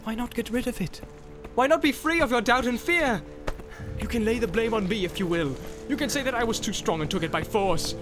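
A man's voice: a little high, huge pitch swings, normal speed, hardly any pauses, music in the background, pitched above the performer's own voice. A man voicing a tall, proud warrior with a covetous temper, speaking in archaic, impassioned declamation in a fantasy story. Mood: coaxing, forceful.

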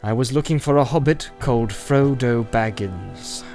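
A man voicing a grim, wary, secretive wandering ranger with a deliberate, cautioning and wry manner in an audiobook. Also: medium pitch, a little mellow, faint music behind, natural voice. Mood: earnest, reassuring.

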